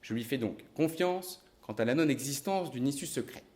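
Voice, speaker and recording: An actor playing a calm, reasoning male detective who speaks in a measured, deliberate way. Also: medium pitch, lightly acted. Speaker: investigator.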